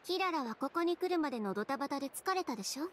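A woman playing a cool, proud, sharp-tongued young seamstress in a game, curt and self-assured. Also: sits high, animated, very fast, slight background noise. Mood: brisk, cool.

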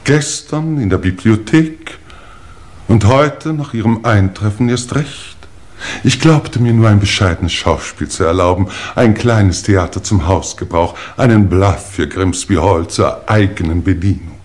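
An actor playing a dignified, pompous aging English lord in a 1950s German radio play, with stately, formal delivery. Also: male voice, lowish voice, measured pace, darkish tone, lightly acted. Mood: wistful, grandiose.

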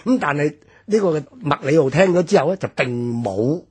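A man's voice: a little high, expressive, moderate pace, breathy edge. A man in his sixties from Hong Kong, with a Hong Kong Cantonese accent, explaining history on a radio talk show and padding his sentences with fillers. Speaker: guest commentator.

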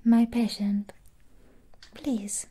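A young woman voicing a sweet, clingy android doll nurse in an ASMR roleplay, soft and whispery with halting phrases. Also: a little high, fairly even intonation, husky, full character voice. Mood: tender, possessive.

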